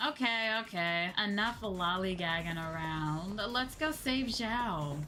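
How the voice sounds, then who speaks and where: A woman's voice: medium pitch, slow pace, sharp tone. A woman streaming a video game with casual live commentary, playful, gushing and teasing. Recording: game music behind.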